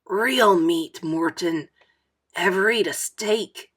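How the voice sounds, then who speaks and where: A woman's voice: fairly low pitch, slow pace, slightly rough. A woman voicing a cocky, bossy fourth-grade boy in a storybook, scornful and emphatic. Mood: challenging. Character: cocky, pushy fourth-grade boy lecturing his friend.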